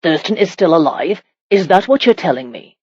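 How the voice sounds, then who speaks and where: A woman voicing a commanding, protective medieval warrior husband in a romance audiobook, firm, measured and often exasperated. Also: lowish voice, lively intonation, a little mellow, husky, dry recording, highs cut off. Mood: stern.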